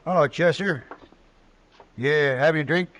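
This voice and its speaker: An actor playing a kindly, hospitable frontier saloon keeper with an affable, slightly hesitant manner, in 1950s radio style. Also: male voice, fairly high pitch, slow, a bit bright, a little hiss or hum. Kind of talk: 1950s radio western acting.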